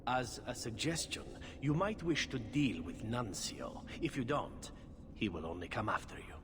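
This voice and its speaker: A man's voice: medium pitch, lively intonation, normal speed, natural voice. A male actor plays a flirtatious assassin in a fantasy game, theatrical and sure of himself. His voice sits mid-range and swoops playfully. The sound is reverberant, with faint noise behind it. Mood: wry, confident. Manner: teasing, theatrical, courtly. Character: roguish, flirtatious male assassin, suave and cocky. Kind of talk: video game dialogue acting.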